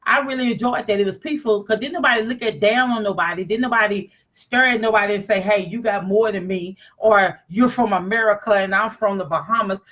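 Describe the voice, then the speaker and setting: Bright-voiced woman chatting. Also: mid-range voice, fairly quick, few pauses. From East Texas. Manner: chatty, confident, talks straight to viewers. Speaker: vlogger. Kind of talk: casual travel chat.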